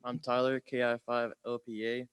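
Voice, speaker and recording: A man's voice: mid-range voice, completely flat delivery, normal speed, a bit bright, smooth, no echo. A male student radio operator asking prepared questions during a contact.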